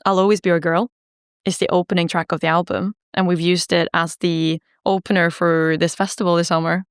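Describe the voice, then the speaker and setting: Chatty woman, low voice. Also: flat delivery, brisk, clear, resonant tone, no room sound, clean studio sound. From Norway. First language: Norwegian. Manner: chatty, laughs easily, many ums and likes. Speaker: singer-songwriter guest. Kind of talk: casual interview chat.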